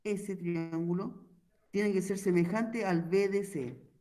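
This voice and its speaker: This is a man with a noticeably high voice, teaching a math class for a recorded online lesson. He explains step by step in a steady, patient way and keeps pausing to check that his students are following along.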